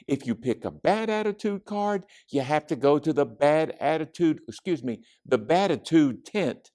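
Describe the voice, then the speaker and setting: A man voicing an excitable, upbeat, bossy young schoolgirl in a children's storybook reading. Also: on the high side, moderate pace, voice pushed up, lightly acted. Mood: explaining, bossy. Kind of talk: children's storybook read-aloud.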